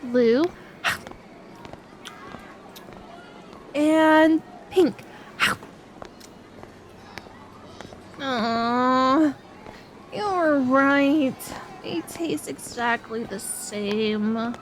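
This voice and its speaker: Woman acting as a high-voiced, girlish best friend. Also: extremely slow, a little hiss or hum, poor audio. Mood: playful, chatty. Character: excitable young woman on an outing with her best friend, sweet and easily discouraged. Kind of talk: ASMR roleplay audio drama addressed to the listener.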